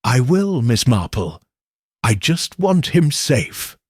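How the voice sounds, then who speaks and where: A man's voice: mid-range voice, sing-song, slow pace, a little mellow, breathy edge, dry recording, clean studio sound, full character voice. A man voicing an anxious young woman typist who speaks earnestly and pleadingly in a storybook reading.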